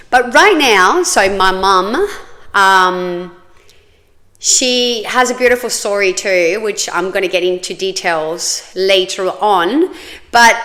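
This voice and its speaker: A woman's voice: mid-range voice, a bit bright. A woman, chatting casually and telling her own life story with plenty of fillers. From Australia. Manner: chatty, many fillers, laughs often.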